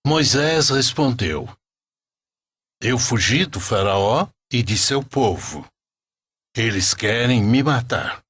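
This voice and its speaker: A man narrating a scripted religious story as a storyteller, in an expressive, deliberate way. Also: medium pitch, husky.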